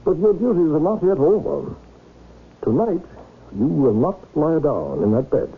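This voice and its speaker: An actor playing a nervous, secretive gentleman with formal, halting, emphatic delivery in an old-time radio drama. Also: a little high, big pitch swings, slightly rough, heavily acted. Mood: secretive, commanding. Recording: lo-fi radio broadcast, faint noise.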